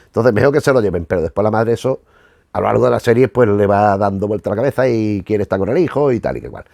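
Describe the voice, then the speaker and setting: A man's voice: fairly low pitch, expressive, fast talker, creaky, a little mellow, no echo, studio quality. A middle-aged man with a Spanish accent from Spain, giving unscripted commentary in a rambling, digressive, self-correcting way. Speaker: host of a retro television review channel.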